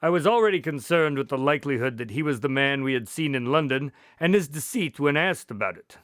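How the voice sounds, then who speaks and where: A man playing an earnest, observant doctor who investigates a case, speaking in a measured, explanatory way in an audio drama. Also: mid-range voice, lively intonation, fairly bright tone, no room sound, clean studio sound, natural voice. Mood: recounting, suspicious.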